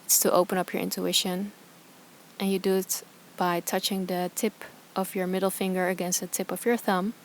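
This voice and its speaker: A woman guiding a meditation as a yoga teacher, speaking softly and soothingly in short, calm phrases with pauses between them. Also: lowish voice, slight background noise. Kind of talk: guided meditation instruction.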